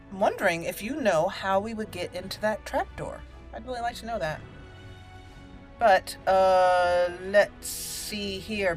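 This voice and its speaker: A woman hosting a gaming let's play, chatting casually and thinking aloud as she plays. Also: mid-range voice. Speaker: gaming channel host, let's play commentator. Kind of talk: casual gameplay commentary. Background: game music.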